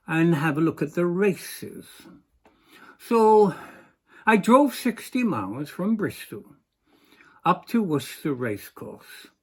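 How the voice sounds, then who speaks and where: Husky, lilting man attempting a Welsh accent. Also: high voice. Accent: English speaker putting on a Welsh accent. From England. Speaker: amateur accent learner telling an anecdote. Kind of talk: rehearsed spoken anecdote.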